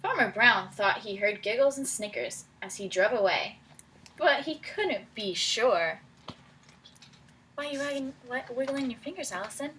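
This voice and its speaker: A woman with a bright, fairly high voice reads a children's storybook aloud like a teacher. She is encouraging and often breaks off to put questions to her young listeners. There is noticeable noise in the room behind her. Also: expressive, normal speed. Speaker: teacher reading a picture book aloud to children.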